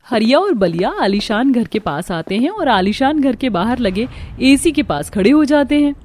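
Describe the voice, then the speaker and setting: A woman's voice: on the high side, big pitch swings, normal speed, darkish tone. A woman giving scripted narration for a Hindi cartoon story.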